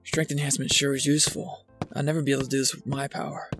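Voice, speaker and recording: A man's voice: medium pitch, level tone, rapid-fire, creaky, a bit bright, reverberant, faint music behind. A man playing a curt, guarded young man in a fan dub, blunt and dry.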